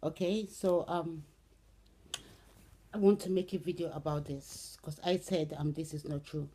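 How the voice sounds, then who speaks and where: A middle-aged woman from Brazil, speaking casually to camera with many "um"s and "okay"s, in an unhurried way. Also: sits low, fairly even intonation, mellow, a little hiss or hum. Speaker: vlogger. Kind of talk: casual spoken commentary to camera.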